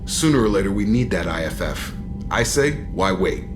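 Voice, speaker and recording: A man's voice: on the low side, very animated, normal speed, gravelly, over music. An actor playing a blunt, loyal starship crewman in a video game. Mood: supportive. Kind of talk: scripted game dialogue.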